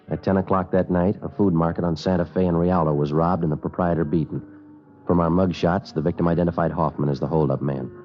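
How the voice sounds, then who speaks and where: An actor playing a terse, level-headed police detective, narrating and talking in a clipped deadpan for a 1950s radio crime drama. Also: male voice, sits low, lightly acted. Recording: dull vintage 1950s radio recording, music.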